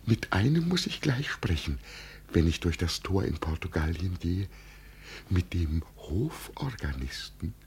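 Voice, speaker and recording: A man's voice: deep voice, sing-song, a little slow, a little mellow, heavily acted. An actor playing an old man who believes he is an emperor, speaking with solemn, tremulous grandeur in a vintage radio drama. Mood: solemn, moved. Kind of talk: 1950 German radio drama acting. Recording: noisy vintage 1950 radio recording.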